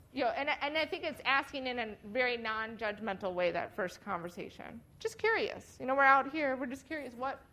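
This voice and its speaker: A woman with a Minnesotan accent teaches a church outreach workshop. Her voice sits a little high, and her talk is loose and chatty, full of "you know" and easy laughter. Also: a bit bright. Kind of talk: workshop teaching and role-play.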